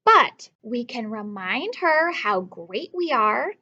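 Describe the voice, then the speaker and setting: A woman voicing neglected, earnest storybook writing tools, eager to prove themselves, with emphatic, drawn-out delivery. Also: a little high, sing-song, pushed and bright, lo-fi sound, full character voice. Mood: firm, encouraging.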